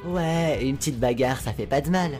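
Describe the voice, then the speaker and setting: A woman's voice: rumbling low, moderate pace, mellow, faint music behind. A woman voicing a crude, flamboyant male spider demon with a sarcastic, lewd, mocking drawl in a fan dub. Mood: sneering.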